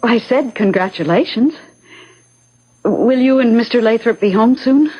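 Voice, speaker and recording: An actress playing a doubtful, subdued young wife in a 1970s radio drama, sceptical and reserved. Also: female voice, a little high, unhurried, slightly rough, muffled recording.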